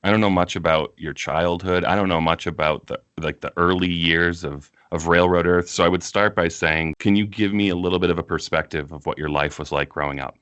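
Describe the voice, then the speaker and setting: A man hosting a podcast interview who speaks in an earnest, measured, reflective way. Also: low-pitched, steady tone, a bit bright, clear, resonant tone, no room sound, muffled recording. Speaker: podcast host. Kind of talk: interview conversation.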